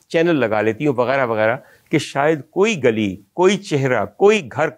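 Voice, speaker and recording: Man recounting film music history. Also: mid-range voice. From Pakistan. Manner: reminiscing, ornate Urdu phrasing, addresses audience directly. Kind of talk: storytelling music history talk.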